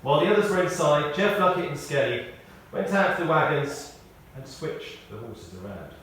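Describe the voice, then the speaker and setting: Male eulogist, measured and drily humorous storyteller, reading a tribute in a very reverberant church, off-mic with faint noise. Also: on the high side, very animated, fairly bright tone, recorded from a distance. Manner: measured storytelling with dry humour. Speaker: eulogist at a memorial service. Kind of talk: prepared spoken tribute, read aloud. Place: speaking in a large church.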